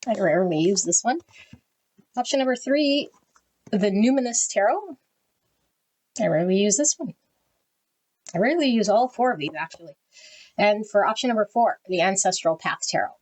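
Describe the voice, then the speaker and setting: A woman giving a tarot reading to her viewers, talking frankly and often tagging "okay?" onto her sentences, with her voice swinging high and low. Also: animated, moderate pace, pauses often, a little mellow, poor audio. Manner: pitch swings high and low as she talks. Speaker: tarot reader. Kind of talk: casual direct-to-camera tarot reading.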